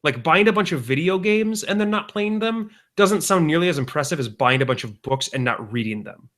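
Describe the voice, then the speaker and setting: A young man co-hosting a podcast, rambling through deadpan jokes in casual banter. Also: a little high, a little fast. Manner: deadpan joking, rambling with restarts. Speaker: podcast co-host.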